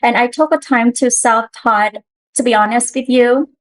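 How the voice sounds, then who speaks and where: A woman's voice: fairly high pitch, normal speed, poor audio. A woman, a guest telling her story earnestly in a casual interview, with restarts. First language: Khmer.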